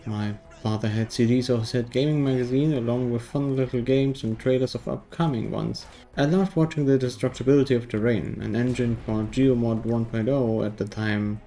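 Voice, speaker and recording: Mellow-voiced man narrating a game review. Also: medium pitch.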